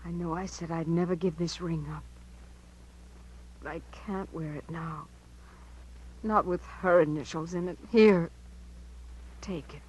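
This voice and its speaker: An American actress playing a frightened yet defiant young niece in a 1950s radio drama, earnest and dramatic. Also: female voice, on the low side, fairly even intonation, unhurried, long pauses, a little mellow, husky, rough recording. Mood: resolute, upset.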